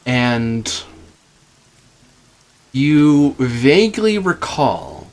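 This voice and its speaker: Man, game master, tabletop narration, slow pace, deliberate pauses, mid-range pitch. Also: big pitch swings. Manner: halting, deliberate delivery with pauses.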